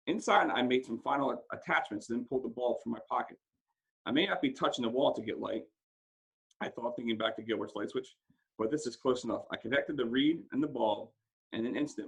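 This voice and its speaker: Gravelly, husky man reading a story aloud. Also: medium pitch, fairly bright tone, poor audio. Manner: dramatic, acts out dialogue, stumbles over words now and then. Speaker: school principal reading a book aloud. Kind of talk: expressive audiobook-style read-aloud of a first-person story.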